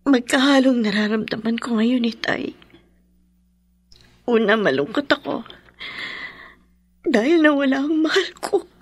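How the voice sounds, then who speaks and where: An actress playing a grieving, gentle young daughter in a radio drama, speaking in a tearful, halting way. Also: female voice, fairly high pitch, animated, husky, dry recording. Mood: tearful grief. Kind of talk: melodramatic radio drama acting.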